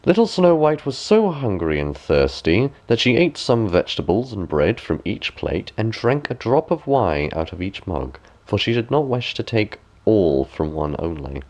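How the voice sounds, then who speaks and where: Animated low-voiced man reading a fairy tale. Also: normal speed, few pauses. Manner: expressive, animated storytelling. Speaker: storybook narrator. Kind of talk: scripted fairy tale narration, bedtime reading.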